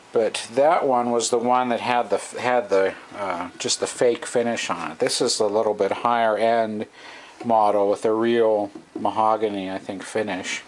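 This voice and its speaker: A middle-aged man giving a casual, off-the-cuff hobbyist walkthrough, hesitant and thinking aloud, recorded on a camera's own microphone. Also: fairly low pitch. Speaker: hobbyist vintage radio restorer. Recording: noisy amateur camcorder recording.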